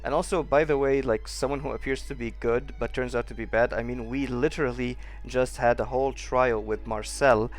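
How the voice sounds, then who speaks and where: A man's voice: medium pitch, steady tone, brisk, hardly any pauses, faint music behind. A man giving casual playthrough commentary as a gaming streamer, rambling and incredulous.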